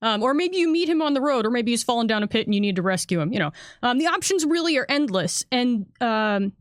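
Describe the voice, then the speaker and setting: A woman's voice: a little high, lively intonation, fast talker, no room sound, studio quality. A woman who works as a game designer, chatting casually and enthusiastically as she explains a product on a livestream. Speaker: game designer and editor on a panel.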